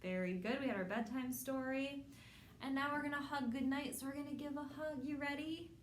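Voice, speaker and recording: A woman hosting a children's storytime, reading a picture book aloud in simple, measured phrases addressed to young children. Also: sits high, steady tone, a little hiss or hum. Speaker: children's storytime host at a public library.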